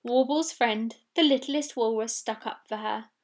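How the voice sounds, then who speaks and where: A woman's voice: on the high side, a little slow. A woman reading a children's storybook expressively, with dramatic delivery of sound words. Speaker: story reader.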